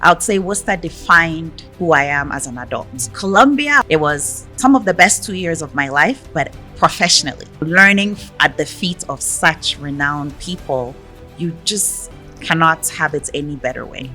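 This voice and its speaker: A woman from Ghana, a podcast guest talking in a lively, emphatic way and laughing often. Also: sits low, moderate pace, faint music behind. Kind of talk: conversational interview.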